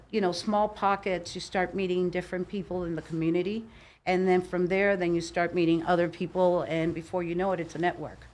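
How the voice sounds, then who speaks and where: An older woman from Cuba, a boisterous and opinionated guest telling stories in a casual podcast interview. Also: fairly low pitch. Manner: boisterous, opinionated, animated storyteller. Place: podcast studio.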